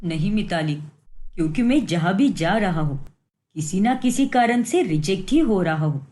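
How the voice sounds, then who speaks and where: A woman's voice: medium pitch, lively intonation, moderate pace, darkish tone, close to an everyday voice. A woman voicing a deceitful, self-pitying young husband in a cartoon moral story, with a dramatic, whiny delivery.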